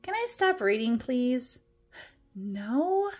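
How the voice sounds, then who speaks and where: A woman's voice: a little high, very animated, darkish tone, faint music behind. A woman reading a children's picture book aloud in a playful, theatrical way, with exaggerated expression. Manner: playful, exaggerated mock dismay, dramatic drawn-out words and whispers. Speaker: storytime reader.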